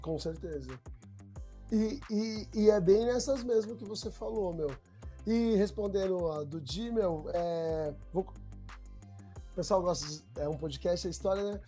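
Young são guy, chill slangy storytelling, warm mellow voice. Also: high voice, unhurried, faint music behind. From Brazil. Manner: slangy street talk, relaxed. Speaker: documentary maker, guest. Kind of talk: casual storytelling chat.

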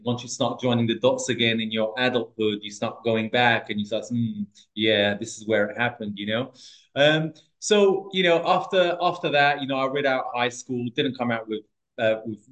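A man's voice: mid-range voice, normal speed, a bit bright, dull audio. A man from Portugal in his thirties, a podcast guest telling his life story in a casual, hesitant way with many restarts and fillers.

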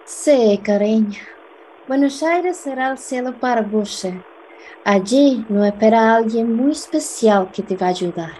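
A woman playing a gentle, affectionate travel companion in a radio drama. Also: a little high, animated, measured pace, slight background noise, poor audio. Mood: gentle, reassuring.

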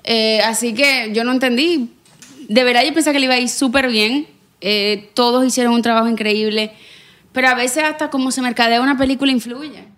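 A woman from the Dominican Republic with a softened Dominican accent, chatting as a podcast guest in a lively, slightly tipsy way. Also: fairly high pitch, fairly quick. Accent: Dominican, softened toward neutral. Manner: lively, laughing, a bit tipsy. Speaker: guest actress and singer. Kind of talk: casual podcast chat.

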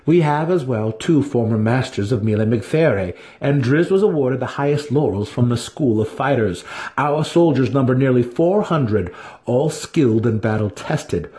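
A man playing a confident, boastful male dark elf elder brother, shrewd and proud, in a fantasy storybook reading. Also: medium pitch, expressive, moderate pace, voice pushed up, lightly acted. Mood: confident reasoning.

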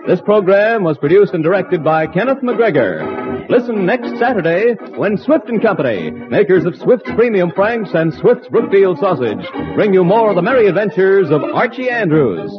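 A man's voice: high-pitched, sing-song, normal speed, muffled recording. A man working as a radio announcer, reading a scripted program sign-off and sponsor message in 1940s broadcast style. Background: music.

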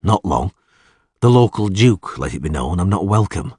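A man playing a low, husky, terse warrior. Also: very animated, moderate pace, darkish tone, dry recording, clean studio sound, exaggerated voice. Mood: dry, friendly. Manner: terse, understated. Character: calm, dry-humoured male warrior, loyal friend.

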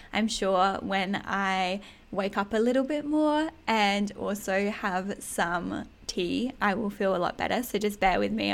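An Australian woman with a slightly husky voice, talking candidly and emphatically in a casual solo podcast monologue. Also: medium pitch. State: throat a bit hoarse in early morning. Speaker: podcast host.

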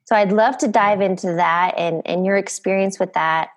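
A woman's voice: mid-range voice, fairly even intonation, normal speed. Upbeat woman podcast host. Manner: upbeat, motivational. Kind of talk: scripted intro, then interview questions.

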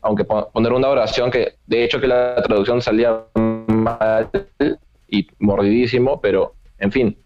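A man with a fairly low voice talks through his travel experiences in a casual conversation. He speaks in a measured, easygoing way and keeps tagging his sentences with a questioning "right?". His sound is dull and lo-fi. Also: level tone, over music.

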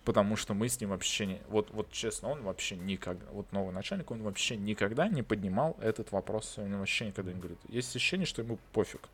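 A man's voice: lowish voice, fast talker, few pauses, slightly rough. A man from Russia in casual chat, talking bluntly and swearing often. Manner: swears a lot, animated and blunt. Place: lives in Israel.

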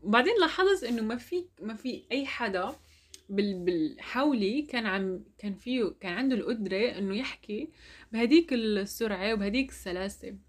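A woman's voice: a little high, animated, fairly quick. A young woman with an Algerian Arabic accent, chatting casually and telling a personal story. She laughs often and mixes French words into her speech.

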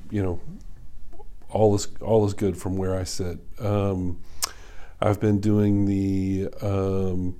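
Middle-aged male doctor, deep monotone, dark tone, hesitant and filler-heavy. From Kentucky. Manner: halting, many ums and uhs. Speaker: neurosurgeon, medical staff president. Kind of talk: casual interview talk.

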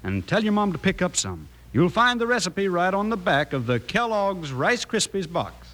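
A folksy cowboy selling cereal on old-time radio. His Western American voice sits fairly high and moves about with eager, animated swings. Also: moderate pace. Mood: folksy, enthusiastic. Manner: folksy, enthusiastic.

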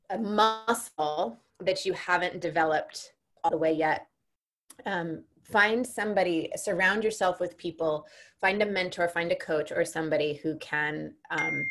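Lower-pitched woman hosting a relaxed chat. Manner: relaxed, rambling, heavy use of fillers. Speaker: coach and interview host. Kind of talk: casual conversational interview.

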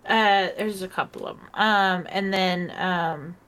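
A woman's voice: lowish voice, slow, fairly bright tone, clean tone. Tipsy, tired woman co-host, rambling and giggling through casual chat. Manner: rambling, giggly, lots of fillers. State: tipsy and socially drained. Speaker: podcast co-host.

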